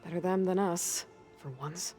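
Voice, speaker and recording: A woman's voice: on the low side, steady tone, moderate pace, dark tone, lightly acted. Woman playing a wry, determined young heroine, music behind. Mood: determined, friendly. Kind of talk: video game cutscene acting. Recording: background music under the voice.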